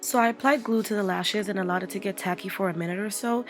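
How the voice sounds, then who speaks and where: Hoarse young woman narrating makeup steps. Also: mid-range voice, quick pace. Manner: chatty, playful, narrating each step. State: losing her voice from a cold. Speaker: makeup artist. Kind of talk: voice-over makeup tutorial. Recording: voice-over with loud background music. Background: music.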